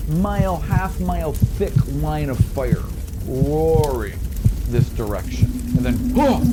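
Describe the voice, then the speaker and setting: A man narrating a tabletop game as game master, with vivid, drawn-out descriptive delivery. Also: medium pitch. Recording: noisy, with ambient sound behind.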